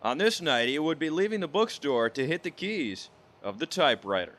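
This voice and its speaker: A man's voice: on the high side, big pitch swings, normal speed, fairly bright tone, slight background noise. An American man narrating a scripted video report as a reporter.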